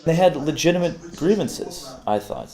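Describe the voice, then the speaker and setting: A man's voice: on the high side, animated, moderate pace, a little mellow. A man giving a reflective documentary interview.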